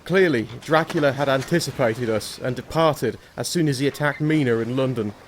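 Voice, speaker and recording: A man's voice: medium pitch, normal speed, close to an everyday voice. A man playing a devoted, anxious young husband who also narrates earnestly in an audio drama. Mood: grave narration. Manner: earnest, protective. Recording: audible background noise.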